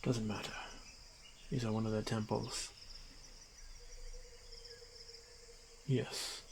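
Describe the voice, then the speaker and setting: Orc warrior , male performer: confident hunter, slow solemn delivery with gravel, fantasy roleplay audio over outdoor night ambience. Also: lowish voice, little pitch movement, a little mellow, a little hiss or hum. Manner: measured, halting, terse phrases with solemn pauses.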